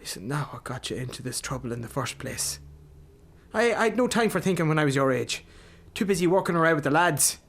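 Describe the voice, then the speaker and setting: A middle-aged Irish father in a radio drama. He is blunt and needling, a worried man who talks with gruff sarcasm. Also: a little high, expressive, a little fast, a bit bright. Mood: blunt, nagging. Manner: blunt, sardonic. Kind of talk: naturalistic radio drama acting.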